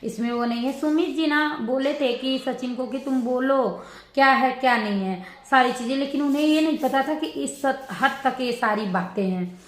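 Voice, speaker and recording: A woman giving casual reaction commentary to her viewers, rambling and halting as she circles back over her points. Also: sits high. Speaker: vlogger. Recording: roomy echo with background noise.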